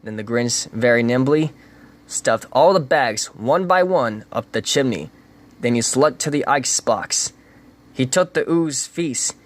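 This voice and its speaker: Man giving a rhythmic storybook reading. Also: mid-range voice. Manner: rhythmic rhyming delivery with lively emphasis. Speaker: reader.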